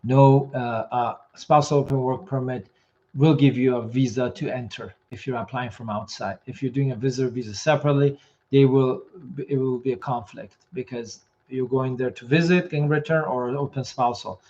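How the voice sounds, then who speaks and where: A man hosting a live question session as an immigration consultant, reading viewer comments aloud and giving quick, matter-of-fact answers while losing his voice. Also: mid-range voice, steady tone, a little mellow, dull audio.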